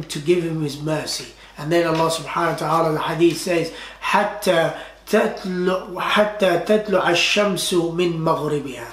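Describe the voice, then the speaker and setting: An imam delivers an earnest Friday sermon in a fairly high voice. He repeats key phrases for emphasis and recites Arabic passages quickly. His voice rings through an echoey prayer hall. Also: a bit bright. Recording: echoey room.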